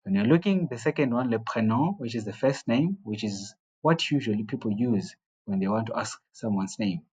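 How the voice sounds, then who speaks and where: A man walks beginners through a language lesson, saying each phrase twice at a patient, steady rate. His voice is husky, with a middle pitch for a man. The recording is dull and lo-fi. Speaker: French teacher.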